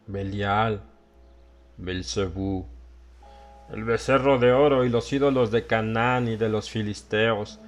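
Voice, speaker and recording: A man's voice: medium pitch, lightly acted. A man playing a drunken, half-crazed old villager, sly and ranting, in a dramatized horror audiobook, with a rambling and insistent manner. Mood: brooding, ominous. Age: older. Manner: cackling, rambling, insistent questioning.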